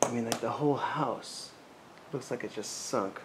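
A man's voice: mid-range voice, moderate pace. An American man in his forties narrating a casual exploring vlog, wry, muttering asides to himself. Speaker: urban explorer vlogger. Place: inside and behind a derelict abandoned house. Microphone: handheld camera mic. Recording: noisy handheld camera audio.

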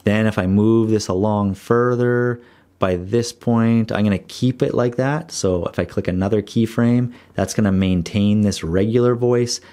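A man explaining audio editing software in a steady screen-recorded tutorial voice-over. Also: on the low side, measured pace.

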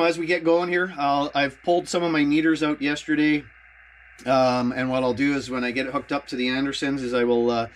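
A man's voice: medium pitch, a bit bright. A man talking casually as an amateur radio operator and video host. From Canada. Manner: spells out call signs in phonetic alphabet. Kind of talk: casual narration and ham radio chat.

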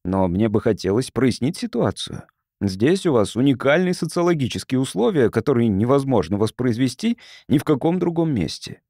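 A man voicing a calm, curious sociologist from Earth in an audiobook, measured and polite. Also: medium pitch, no room sound, studio quality.

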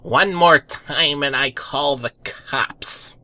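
A man voicing a gruff, angry female neighbour who scolds curtly in a comic story reading. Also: fairly high pitch, slow, pushed and bright, dry recording, pitched above the performer's own voice, heavily acted. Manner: curt, scolding.

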